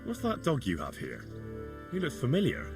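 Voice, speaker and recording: An actor playing a curious, good-natured young blacksmith's son in casual dialogue from a medieval video game. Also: male voice, mid-range voice, wildly swooping pitch, moderate pace, music in the background.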